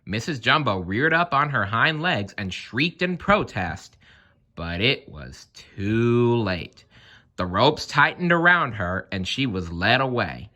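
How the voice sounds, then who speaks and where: A man reading a children's story aloud in an expressive, animated narrating style. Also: medium pitch, slow pace. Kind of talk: children's read-aloud narration with playful asides.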